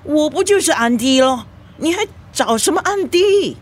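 Nosy Singaporean mother, high animated voice. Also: moderate pace. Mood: suspicious, indignant. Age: middle-aged. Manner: probing, exclamatory. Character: nosy, excitable middle-aged mother, suspicious then welcoming. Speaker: radio drama actor. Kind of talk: radio drama acting.

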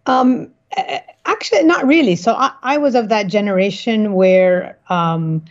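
A woman from Kuwait answering interview questions reflectively, often halting and correcting herself, on slightly dull audio. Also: mid-range voice. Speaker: interview guest, cookbook author.

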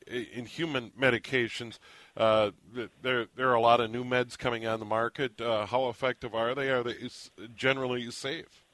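A man's voice: mid-range voice, fairly bright tone, dry recording. A man hosting a radio call-in show, asking questions with frequent stammered restarts. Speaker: radio talk show host. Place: radio studio. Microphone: studio microphone.

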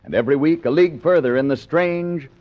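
A man's voice: a little high, brisk. A man announcing a radio adventure serial in a grand, theatrical 1940s style. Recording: muffled 1940s radio recording.

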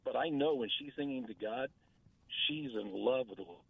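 A man's voice: mid-range voice, moderate pace, gravelly, husky, dry recording. A man calling in to a radio show, chatting in a friendly way with a big voice. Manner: friendly, chatty, laughs easily. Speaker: caller. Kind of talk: phone-in chat. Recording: tinny phone line.

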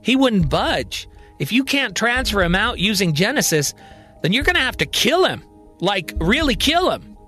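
A man's voice: high voice, big pitch swings, moderate pace, pushed and bright, faint music behind, pitched above the performer's own voice, heavily acted. A male storyteller voicing a puzzled, plain-spoken man who questions things, in an audio drama.

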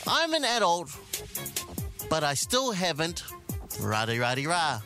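A man's voice: a little high, huge pitch swings, measured pace. A man with a New Zealand accent hosting a radio show with casual, joking, slangy banter into a close studio mic. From South Auckland, New Zealand. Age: adult. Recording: music bed behind the voice.

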